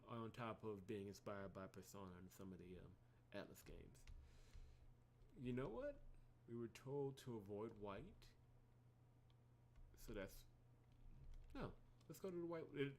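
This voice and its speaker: A man's voice: medium pitch, a little hiss or hum. A man hosting a blind game playthrough, giving casual commentary and reading text aloud, often musing hesitantly as he trails off. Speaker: gaming host doing a blind playthrough.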